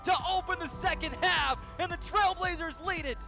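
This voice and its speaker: An American man calling live football play-by-play in an excited, projected voice. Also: very high-pitched, normal speed, creaky, over music. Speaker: play-by-play commentator.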